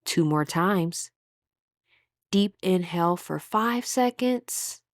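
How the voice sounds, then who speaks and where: A woman leading a guided meditation as instructor, speaking in a calm, soothing, measured way with pauses. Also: lowish voice, dry recording, clean studio sound.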